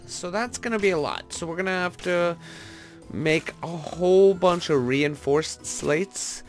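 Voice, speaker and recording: A man doing casual gaming commentary, thinking aloud in a rambling way as he talks to his viewers. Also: a little high. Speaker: gaming video host. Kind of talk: casual Minecraft let's-play commentary.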